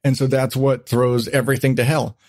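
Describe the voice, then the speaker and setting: A man's voice: medium pitch, flat delivery, brisk, darkish tone, no room sound, clean studio sound. A man hosting a casual podcast chat, rambling along and swearing freely. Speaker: podcast host.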